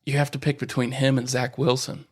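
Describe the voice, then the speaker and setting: A man's voice: medium pitch, level tone, a little fast. A man with an American accent co-hosting a sports podcast in casual, bantering conversation.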